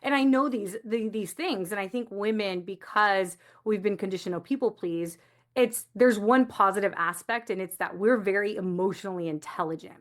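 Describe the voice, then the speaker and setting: A woman's voice: medium pitch, normal speed. A woman from the United States speaking as an interview guest, explaining things reflectively in a conversational way. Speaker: guest, coach for first-generation community.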